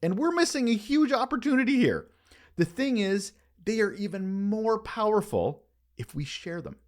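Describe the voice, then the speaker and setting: Animated male podcast host. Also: sits high. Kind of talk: scripted podcast monologue, conversational.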